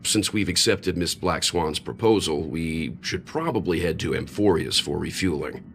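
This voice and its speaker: A man voicing a thoughtful older scholar who speaks in a measured, reflective way in a game cutscene. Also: low voice, gravelly, a bit bright, a little hiss or hum. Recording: game cutscene with faint music.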